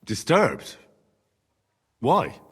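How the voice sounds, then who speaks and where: A husky, earnest young man in service to lords for a medieval game. His questioning delivery moves lively up and down, ringing with long hall reverb. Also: mid-range voice, very slow, heavily acted. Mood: puzzled. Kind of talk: dramatic video game cutscene acting.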